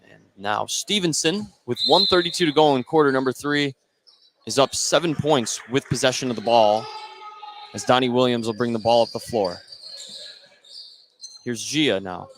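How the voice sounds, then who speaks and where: A man's voice: medium pitch, moderate pace, long pauses. A man with an American accent giving live play-by-play commentary as a basketball announcer. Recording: clear gym noise, bouncing basketballs behind. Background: basketball game in a gym.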